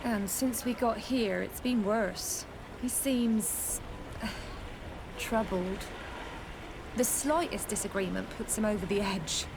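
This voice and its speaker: A reserved female sailor in a video game, mid-pitched. She chooses words carefully and respectfully, with noisy outdoor harbour ambience behind her. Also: takes it slowly, vocal fry, pauses often. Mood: careful, uneasy. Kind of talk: video game dialogue acting.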